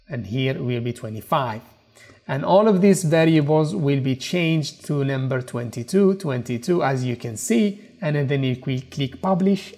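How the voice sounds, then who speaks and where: A man walking through a software tool in a screen-recorded tutorial, explaining step by step in slightly non-native English. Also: mid-range voice. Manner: explanatory, repeats "okay" and "as you can see". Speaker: tutorial presenter.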